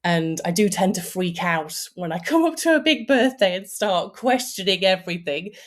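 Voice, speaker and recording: A woman's voice: fairly low pitch, expressive, normal speed. A woman in her forties, an author, talking about her book in a casual interview, chatty with lots of fillers and restarts. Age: 40s.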